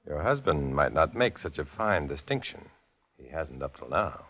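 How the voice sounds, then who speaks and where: An American actor in a 1950s radio drama playing a cool, guarded undercover agent with a clipped, measured, insinuating delivery. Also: male voice, a bass voice, very animated, fairly bright tone, exaggerated voice. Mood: quietly threatening. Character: cool, guarded male undercover agent posing as Communist blackmailer. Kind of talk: 1950s American radio drama acting with first-person narration. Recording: dull, lo-fi old radio recording, music behind.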